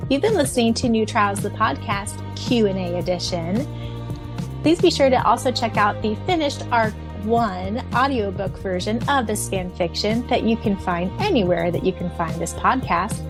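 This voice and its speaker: A woman co-hosting a fan podcast in casual chat, gushing and excitable, laughing often. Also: medium pitch, normal speed, over music. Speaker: podcast co-host.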